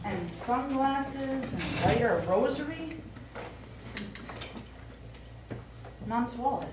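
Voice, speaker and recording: A woman's voice: fairly high pitch, expressive, unhurried. A middle-aged woman playing a practical, concerned daughter in a live stage play, coaxing with mild exasperation. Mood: puzzled, correcting. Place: small indoor theatre stage, recorded from afar. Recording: echoey theatre, distant mic, faint noise.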